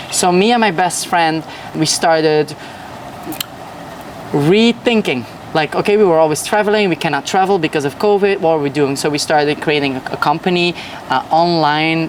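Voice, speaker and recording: A man's voice: fairly high pitch, recorded from a distance, a little hiss or hum, poor audio. Enthusiastic young man from Belgium. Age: young adult. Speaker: podcast guest. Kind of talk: casual interview chat. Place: at a hotel.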